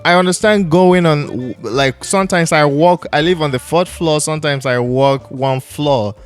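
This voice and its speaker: A man from Nigeria who hosts a jazz podcast, talking in a loose, animated way with many restarts. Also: a little high, normal speed, smooth, soft background music. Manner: animated and storytelling, with laughs and restarts. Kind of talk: casual conversation.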